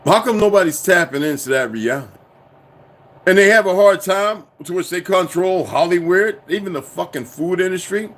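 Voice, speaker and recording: A man's voice: high voice, lively intonation, moderate pace. A man delivering a profane, preachy, insistent monologue to his viewers. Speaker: commentator.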